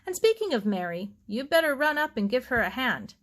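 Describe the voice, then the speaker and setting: A woman voicing a sensible middle-aged aunt, kindly but brisk, with a measured, gently chiding manner. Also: sits high, big pitch swings, voice pushed up. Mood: teasing.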